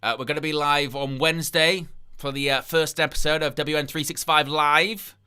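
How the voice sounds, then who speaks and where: A man's voice: on the high side, steady tone, normal speed, sharp tone, clear, resonant tone. A man hosting a live wrestling watchalong, rambling through incredulous asides and reading chat comments aloud between plugs for likes. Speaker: wrestling livestream host.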